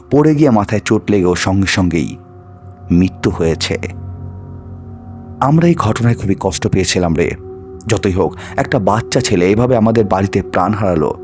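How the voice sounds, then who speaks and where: A man tells a scripted ghost story in a fairly low voice. His delivery is dramatic and expressive, with wide swings in pitch. Soft music plays behind him. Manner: expressive, acts out the dialogue of characters. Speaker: storyteller and narrator of ghost stories.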